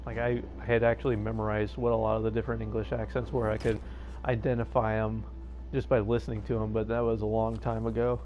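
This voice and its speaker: A man with a lowish voice chats casually on a livestream, drifting between thoughts with plenty of ums and restarts. He talks straight into his own plugged-in microphone at a home setup, with a faint hiss underneath. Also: little pitch movement, fast talker, highs cut off. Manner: rambling and hesitant, many fillers and restarts. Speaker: game streamer. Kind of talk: casual live-stream chat. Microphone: own plugged-in streaming microphone.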